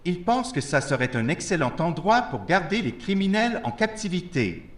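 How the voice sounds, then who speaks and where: A man playing a solemn, formal spokesman for wartime avengers in an audio drama, speaking in a measured way. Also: high voice, very animated. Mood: neutral, translating. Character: solemn meeting speaker and interpreter for war avengers. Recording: very echoey room.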